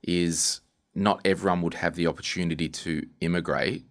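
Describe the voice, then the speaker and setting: A man's voice: deep voice, steady tone, normal speed. A man hosting a podcast interview in a casual, informal way, searching for his words as he goes.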